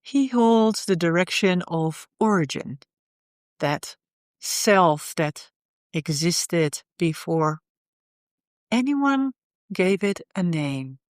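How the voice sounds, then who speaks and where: A woman leading a guided meditation in a soothing, unhurried way, often pausing in the middle of phrases. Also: on the low side, expressive, no echo, studio quality.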